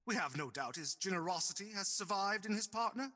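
An actor playing a polite, earnest Victorian charity gentleman in a radio play, formal and courteous. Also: sits high, expressive, fairly quick, big-room sound, heavily acted.

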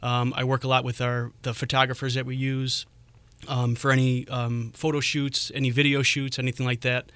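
A man being interviewed on a radio show. His voice is smooth and resonant, with a bright edge. He answers in a friendly but halting way, with plenty of ums. The studio mic is close and dry. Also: medium pitch, level tone, no room sound. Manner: hesitant, lots of "um"s, friendly. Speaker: restaurant creative director, radio guest. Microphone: studio microphone.

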